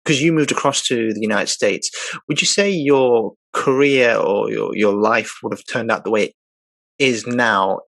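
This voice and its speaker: A man's voice: medium pitch, a little slow, vocal fry, a bit bright, no room sound. A man hosting an interview, asking long, wordy questions with hesitations. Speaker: interviewer, host.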